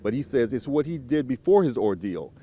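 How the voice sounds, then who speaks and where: A man reporter narrates a news package in an even, measured tone. His voice is warm and mellow, with scripted phrasing. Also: mid-range voice, a little hiss or hum. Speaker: field reporter.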